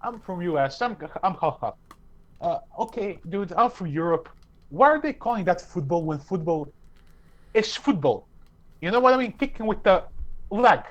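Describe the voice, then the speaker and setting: A man's voice: high-pitched, lively intonation, normal speed, muffled recording. A man from Serbia co-hosting a podcast, talking emphatically with plenty of swearing and lots of "dude". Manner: emphatic, profane, says "dude" often. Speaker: podcast co-host. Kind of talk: casual chat.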